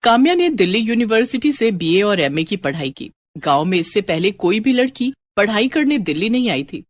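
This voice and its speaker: Animated woman storyteller. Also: medium pitch, normal speed. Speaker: story narrator. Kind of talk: scripted expressive storytelling.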